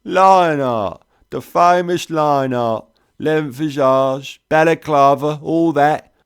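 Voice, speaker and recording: A man's voice: on the high side, slow pace, clear, resonant tone, pitched above the performer's own voice. A man voicing a scarred, cheery and sly cockney whiskey trader who drawls and calls everyone mate. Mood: chummy. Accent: London cockney.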